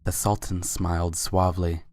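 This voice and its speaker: A man's voice: sits low, flat delivery, normal speed, a little mellow. A man reading scripted story narration in a dramatic reading of fan fiction.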